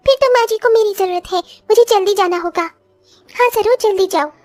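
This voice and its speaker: A woman's voice: extremely high pitch, fairly quick, a little mellow, faint music behind. A woman voicing an eager, dutiful young village daughter-in-law in a cartoon story, sweet and reassuring. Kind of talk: Hindi cartoon story voice acting.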